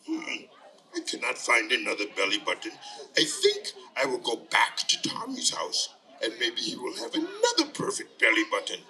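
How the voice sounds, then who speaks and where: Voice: mid-range voice, huge pitch swings, moderate pace, pushed and bright, heavily acted. A storyteller voicing a wheedling, grumpy belly button monster in a children's story, recorded from a distance. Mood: scheming.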